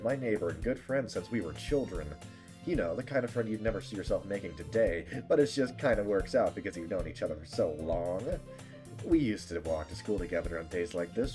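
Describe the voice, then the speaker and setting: Low-pitched, animated game streamer. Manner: playful, mock-dramatic, rambling. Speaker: livestreamer. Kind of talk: casual gaming stream, reading game text aloud.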